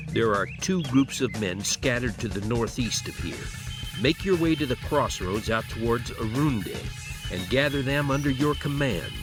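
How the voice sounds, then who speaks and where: An actor playing a senior military commander in a game, giving orderly, authoritative briefings. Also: male voice, medium pitch, close to an everyday voice. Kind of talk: scripted military game dialogue. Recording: music behind the voice.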